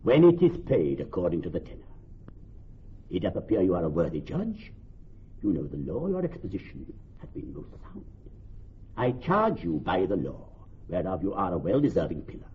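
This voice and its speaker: A man playing a vengeful, obstinate older moneylender in classical radio drama, highly theatrical and swinging from hushed to shrill. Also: natural voice. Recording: muffled, noisy old radio broadcast.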